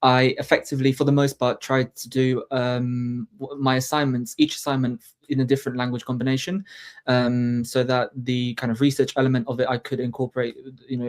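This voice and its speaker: Polish-native student, monotone delivery. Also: male voice, medium pitch. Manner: halting, lots of fillers and self-corrections. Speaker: master's student in translation. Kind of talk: student panel conversation.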